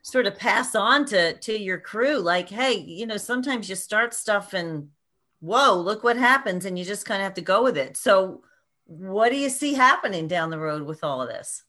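Woman, interviewer. Bright, lively delivery, high-ish, encouraging and quick to laugh. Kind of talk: casual interview.

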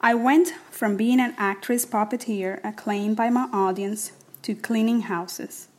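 A woman's voice: mid-range voice, fairly even intonation, unhurried, a little mellow. A native Spanish-speaking woman from Cuba in her late thirties, reading her own personal essay. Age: late 30s. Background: music.

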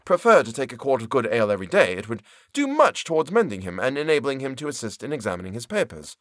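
A man voicing a fawning elderly male law clerk who speaks with obsequious deference and jumps into a high, excitable lilt. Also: fast talker, no room sound, studio quality. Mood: deferential.

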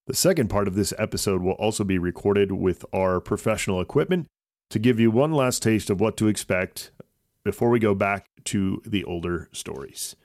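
Low-pitched man with a creaky voice. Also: a little mellow, no echo, studio quality. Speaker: host and narrator of a children's story podcast. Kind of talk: scripted storytelling narration.

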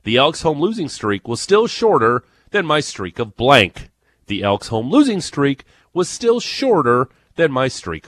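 A man with an Ontario accent hosting a morning sports radio show, chatting and teasing with excitable energy. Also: fairly low pitch. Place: radio studio. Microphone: studio microphone.

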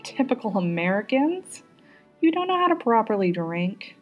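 A woman's voice: fairly high pitch, very animated, normal speed, faint music behind. Woman reading as a tipsy, haughty female prime minister. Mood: derisive. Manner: haughty, loosened by drink.

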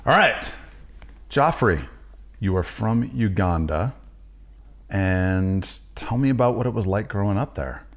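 A man's voice: lowish voice, lively intonation, a little slow, long pauses. A man hosting a casual interview, repeating his guest's words and chiming in briefly. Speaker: podcast host.

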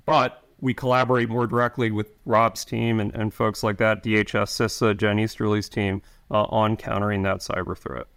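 Middle-aged male guest with a lowish voice; halting delivery full of restarts. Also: flat delivery, vocal fry, a bit bright. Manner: halting, many restarts and fillers. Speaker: intelligence officer guest. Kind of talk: interview answers.

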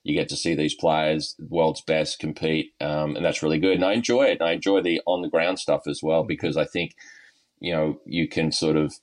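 Guest spot: very low-voiced Australian man, middle-aged, laid-back and chatty, heavy on fillers. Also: steady tone, moderate pace. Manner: easygoing, lots of ums and uhs, chuckles often. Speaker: golf coach and guest. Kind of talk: podcast interview, relaxed storytelling.

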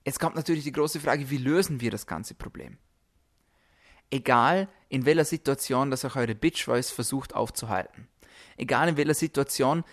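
A man's voice: mid-range voice, a bit bright. A man hosting a motivational podcast, speaking straight to listeners in an emphatic way and repeating phrases for effect. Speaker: podcast host. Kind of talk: motivational solo podcast talk, direct address. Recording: music under the voice.

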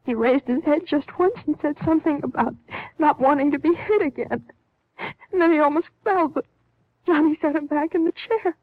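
A woman playing a frightened young bride with a high voice, halting and anxious as she speaks. She is earnest and guarded, acted in old radio drama manner. The sound is thin and tinny, like a vintage broadcast. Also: dry recording, natural voice. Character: nervous, secretive young newlywed woman, frightened but earnest. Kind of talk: 1950s radio drama acting. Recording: tinny, band-limited 1950s radio recording.

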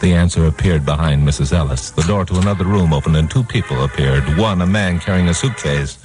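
Casting: male actor as wisecracking radio detective, deep voice, hard-boiled slang, old fifties broadcast sound with music. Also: a little fast, natural voice. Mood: grim, hard-boiled. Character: wisecracking tough male radio crime-fighter hero narrating his case. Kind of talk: 1950s radio drama acting. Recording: old 1950s radio recording, music underneath.

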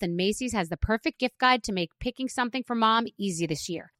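A woman's voice: medium pitch, a bit bright, dry recording. A woman reading an advertisement in a friendly, personal way. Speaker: ad reader. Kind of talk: read advertisement.

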